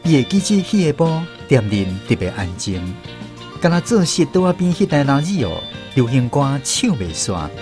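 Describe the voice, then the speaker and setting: A man's voice: on the high side, big pitch swings, moderate pace, loud music behind the voice. A man with a Taiwanese accent reading scripted story narration in a radio drama.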